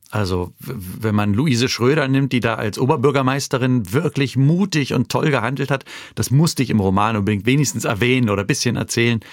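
A man with a gravelly, creaky voice at an ordinary pitch, speaking as a novelist who explains history. He talks in a thoughtful, explanatory way. The recording is close and dry. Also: no room sound. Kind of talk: conversational interview.

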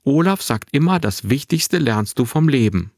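A man voicing a confident, carefree boy of about twelve in a narrated story, with a clear, bright tone and a forthright manner. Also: mid-range voice, expressive, normal speed, no echo, clean studio sound, voice pushed up. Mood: cheeky, opinionated. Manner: forthright, unembarrassed, boyishly matter-of-fact. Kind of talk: acted dialogue in a narrated story.

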